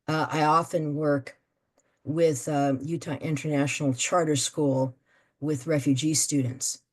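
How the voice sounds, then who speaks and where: Low-voiced woman leading a meeting. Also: little pitch movement, measured pace. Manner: hesitant, many fillers. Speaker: meeting facilitator. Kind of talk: online meeting talk.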